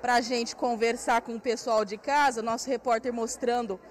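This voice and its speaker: A woman's voice: on the high side, fairly even intonation, normal speed. A woman in her thirties with a Brazilian accent, doing a live TV field report at an indoor craft fair on a handheld mic, chatty and guiding viewers around. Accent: Brazilian Portuguese. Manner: chatty, walks and points while talking. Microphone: handheld station mic.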